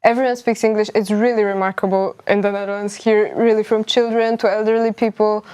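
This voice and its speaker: A woman's voice: medium pitch, level tone, a little fast, darkish tone, clean tone. Eager young woman guest from Poland. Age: young adult. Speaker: guest student. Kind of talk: casual chat.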